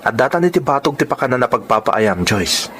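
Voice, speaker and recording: An actor playing a devoted young suitor in a radio drama, speaking with earnest tenderness. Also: male voice, mid-range voice, big pitch swings, brisk, very noisy, lightly acted. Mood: earnest, caring.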